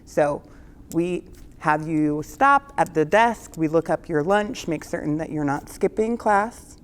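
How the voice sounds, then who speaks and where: A woman's voice: deep voice, animated, a little slow. A woman who is the school librarian, giving friendly but firm rules to students in a school announcement video.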